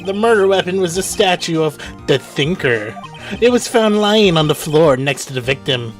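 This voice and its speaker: A man's voice: fairly high pitch, lively intonation, normal speed, natural voice. Man playing a pompous courtroom prosecutor. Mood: formal. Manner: pompous, formal. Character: smug, formal male prosecutor in a courtroom game. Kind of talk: voiced visual novel read-through on a game stream. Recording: faint music behind the voice.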